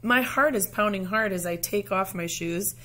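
A woman reading a children's picture book aloud like a teacher, with deliberate, dramatic phrasing. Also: mid-range voice, sharp tone.

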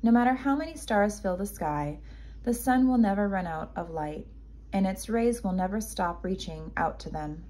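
A woman voicing a gentle, loving mother raccoon in a children's storybook, soothing and patient as she reassures. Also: medium pitch, animated, normal speed, natural voice. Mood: tender explaining. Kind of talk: children's storybook read-aloud.

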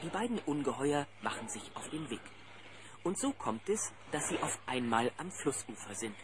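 A man narrating a children's audio drama in a lively storytelling style. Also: medium pitch, normal speed, very noisy, lightly acted. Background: loud music.

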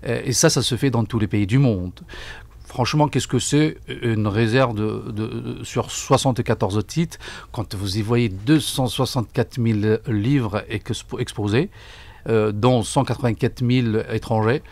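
A middle-aged man giving formal, deliberate answers in a radio interview, often repeating words as he searches for the next. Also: mid-range voice, vocal fry, a little mellow, no room sound. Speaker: book fair coordinator, interview guest. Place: radio studio. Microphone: broadcast microphone on desk stand.

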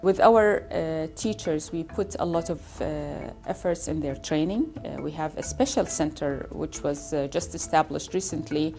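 A woman with a very low, dark and mellow voice answers in a promotional film, music faint behind her. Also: moderate pace, few pauses.

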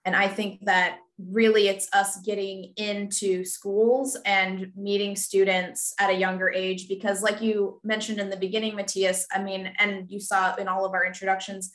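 A woman archivist on a video call panel, speaking in a clear, measured and earnest way. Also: medium pitch, a bit bright. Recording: echoey room on a video call.

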